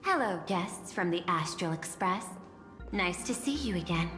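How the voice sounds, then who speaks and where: A woman's voice: on the low side, expressive, measured pace, reverberant, long reverb tail, over music. A woman voicing a composed, formal, analytical company executive in a video game, precise and poised. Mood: gracious.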